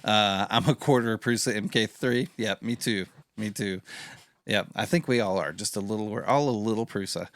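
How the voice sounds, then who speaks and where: A man hosting a casual podcast interview, chatty and relaxed, with lots of fillers. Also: lowish voice, moderate pace. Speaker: podcast host.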